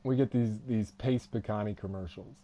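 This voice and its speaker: A man's voice: fairly low pitch, fairly even intonation, normal speed, dark tone. A young man with a Texan Southern accent, chatting casually and rambling while he streams a game, laughing often. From Texas. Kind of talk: casual live-stream chat while gaming.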